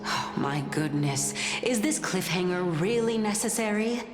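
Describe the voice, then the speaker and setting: A woman playing a composed, enigmatic game character who speaks in a measured, thoughtful, gently wry manner. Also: on the low side, animated. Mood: wry curiosity. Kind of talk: dramatic game dialogue. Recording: echoey space under loud music.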